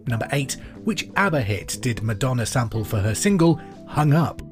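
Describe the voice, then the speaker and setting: A man presenting a podcast pub quiz in a scripted, clear and deliberate way. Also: mid-range voice, sing-song, over music. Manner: repeats questions clearly and deliberately.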